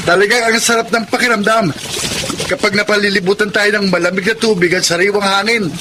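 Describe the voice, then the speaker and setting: An actor with a Filipino accent playing an earnest, protective young older brother in a radio drama, speaking emphatically. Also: male voice, high-pitched, steady tone, a little fast, background noise, natural voice. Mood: carefree, reassuring.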